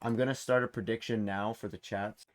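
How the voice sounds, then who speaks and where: A man's voice: on the low side, fairly even intonation, brisk. Casual man hosting a quiz. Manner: informal, reads questions and answer options aloud. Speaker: quiz host. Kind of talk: hosting a game trivia quiz in casual chat.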